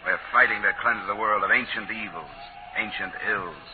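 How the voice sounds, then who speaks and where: Male actor as world-weary veteran, lowish voice, dry sardonic measured delivery, narrow vintage radio sound. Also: lightly acted. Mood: weary, resolute. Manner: dry, measured, mock-deferential irony. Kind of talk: 1940s American radio drama acting. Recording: old lo-fi radio broadcast, faint hiss.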